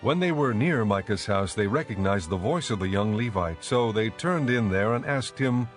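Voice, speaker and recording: Casting: male narrator, lowish pitch, solemn scripted scripture storytelling, soft music bed underneath. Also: expressive, moderate pace.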